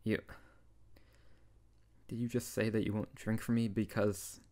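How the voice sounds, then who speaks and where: Casting: man to play scolding but caring young wizard best friend, fairly low voice, close ASMR roleplay delivery. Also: level tone, measured pace, a little mellow, lightly acted. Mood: pleading, hurt. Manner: exasperated, bossy, caring, with sighs. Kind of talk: intimate ASMR audio roleplay addressed to the listener.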